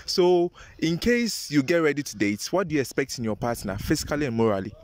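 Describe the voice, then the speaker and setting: A young man from Ghana works a handheld mic outdoors on a university campus, firing questions at students and teasing them. His voice sits a bit high and swings all over as he jokes and laughs between questions. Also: a little fast, slight background noise. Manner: teasing, laughs often, animated. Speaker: street interviewer. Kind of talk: playful campus vox pop.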